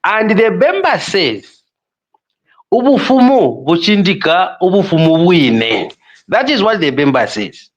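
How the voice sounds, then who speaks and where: A Zambian man arguing his point on a podcast panel, unhurried and deliberate. His voice sits high and moves with lively rises and falls. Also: highs cut off. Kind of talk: panel debate.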